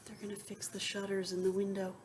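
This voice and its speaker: A woman teacher reading a picture book aloud to young students, expressive, moving between emphatic reading and soft, wondering asides. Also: medium pitch, fast talker, a little hiss or hum. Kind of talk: children's read-aloud with asides and questions to students.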